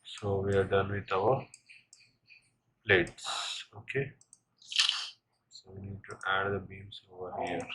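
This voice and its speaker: A man narrating a step-by-step software tutorial as an instructor, in halting short phrases with frequent check-in tags. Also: low voice, level tone, poor audio. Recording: clear background noise, keyboard clicks.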